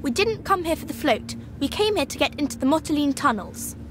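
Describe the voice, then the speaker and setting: High, lilting girl. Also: expressive, fairly quick, music in the background. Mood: insistent. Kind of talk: video game acting.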